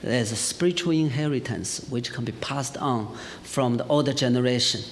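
A middle-aged man from China with a Chinese accent, preaching a sermon and telling a personal story in a lively way, with frequent fillers. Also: mid-range voice, normal speed. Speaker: pastor. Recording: church hall reverb, faint hiss.

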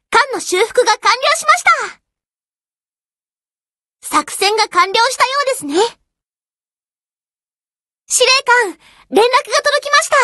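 Bright, earnest anime schoolgirl sailor. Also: extremely high pitch, dry recording, studio quality, heavily acted. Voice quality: youthful, girlish. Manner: polite, diligent. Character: earnest, dutiful teenage warship girl, eager to serve. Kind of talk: anime game character voice lines.

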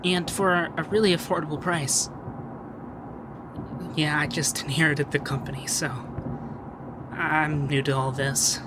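A man playing a shy, sweet young femboy heir in an ASMR roleplay, hesitant and gently flustered, stammering as he talks. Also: fairly high pitch, steady tone, measured pace, pauses often, background noise, natural voice. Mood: bashful. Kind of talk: ASMR roleplay audio drama.

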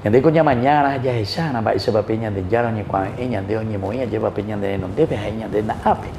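Mellow Paraguayan priest in a roomy space. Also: male voice, lowish voice, moderate pace. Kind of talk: Gospel reading and homily. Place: small wood-panelled chapel, indoors. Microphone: lapel mic. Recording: roomy echo, faint background noise.

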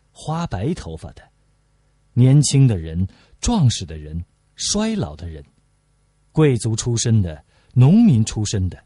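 A man's voice: medium pitch, dark tone, slightly rough, no room sound. A man reading literary prose aloud as an audiobook narrator.

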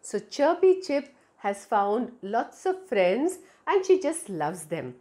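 A middle-aged woman with an Indian accent tells a children's story in a fairly high voice. Her intonation is very animated, rising and falling widely as she addresses her young listeners directly and expressively. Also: slow pace. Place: small indoor room. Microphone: clip-on lapel mic.

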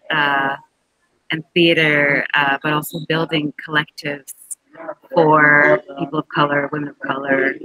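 A woman's voice: low-pitched, pushed and bright, poor audio. A woman moderating a video call panel, introducing guests and asking encouraging questions. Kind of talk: hosting a panel discussion. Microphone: video call mic.

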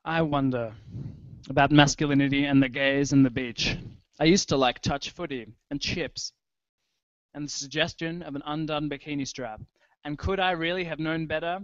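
A man in his thirties giving a measured, poetic literary reading. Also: on the high side, fairly even intonation, no echo. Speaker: writer reading his own fiction. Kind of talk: literary reading over a live soundscape. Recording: dull lo-fi web stream audio. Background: music.

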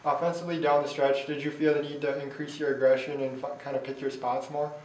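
A man interviewing players after a basketball game, asking measured questions with a few "uh"s. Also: mid-range voice, little pitch movement. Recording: echoey room, distant mic, faint hiss.

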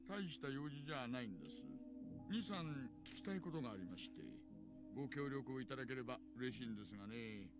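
An actor playing an oily, genial middle-aged police detective in a visual novel, drawling with slippery politeness. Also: male voice, medium pitch, expressive, very fast, over music, lightly acted. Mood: slyly polite. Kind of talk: Japanese visual novel voice acting.

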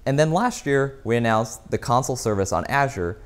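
A man's voice: mid-range voice, expressive, a little fast, clean tone. A man in his thirties presenting a product keynote in an explanatory, emphatic way, recorded on a lapel mic. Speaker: company executive, keynote presenter. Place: indoor office lounge.

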